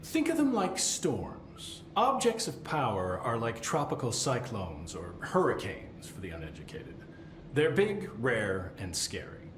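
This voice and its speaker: An actor playing a fussy, deadpan middle-aged keeper of strange items, with dry rambling talk and awkward fillers. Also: male voice, mid-range voice, measured pace. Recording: echoey game cutscene with faint hiss.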